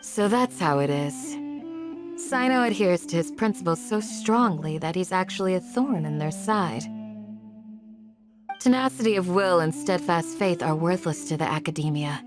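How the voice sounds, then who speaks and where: A woman's voice: on the low side, normal speed, close to an everyday voice. Woman playing a composed, kindly leader, music behind. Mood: reflective, reassuring. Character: composed, kindly female leader, thoughtful and reassuring. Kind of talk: video game cutscene acting. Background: guitar music.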